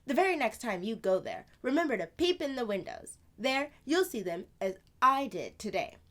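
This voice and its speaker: Woman as a high, animated, knowing older sister. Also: normal speed. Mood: confiding, animated. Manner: animated, confiding, emphatic. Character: playful, knowing older sister telling fanciful tales. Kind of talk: children's storybook read-aloud.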